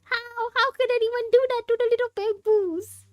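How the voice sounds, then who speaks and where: A woman giving live playthrough commentary, chatting casually to viewers and reacting aloud in a jokey, expressive way. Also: very high voice, normal speed, dry recording, dull audio. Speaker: game streamer. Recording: music behind the voice. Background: game music.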